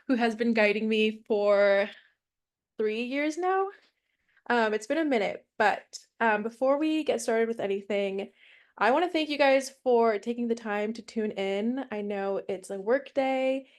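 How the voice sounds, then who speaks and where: A woman from Mexico teaching her first online class over a video call, casual and chatty with fillers. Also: a little high. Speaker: guest instructor.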